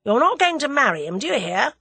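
A woman's voice: mid-range voice, sing-song, normal speed, sharp tone, husky, no echo, studio quality, full character voice. A woman voicing a bossy, lovestruck young man adventurer in an audiobook, clipped and emphatic. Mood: dictatorial. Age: young adult. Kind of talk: audiobook character dialogue.

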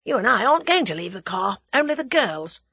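A woman's voice: on the high side, very animated, brisk, creaky, bright, forceful tone, slightly rough, no echo, studio quality, voice pushed up, full character voice. A woman voicing a determined young Englishman who gives curt, firm orders. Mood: commanding. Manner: curt, commanding.

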